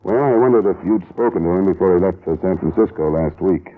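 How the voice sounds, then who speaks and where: Deep-voiced hard-boiled radio detective. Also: male voice, fast talker. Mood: easygoing, guarded. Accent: American. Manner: terse, probing, hard-boiled. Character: dogged, calm male private investigator, adult. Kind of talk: 1950s radio detective drama acting, first-person narration and dialogue. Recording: muffled, lo-fi old radio recording.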